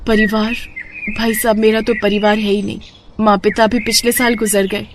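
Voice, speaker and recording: A woman's voice: mid-range voice, level tone, normal speed, slight background noise, lightly acted. A woman voicing a poor, orphaned young village woman, earnest, plaintive and polite, in a cartoon moral story. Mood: sad, humble.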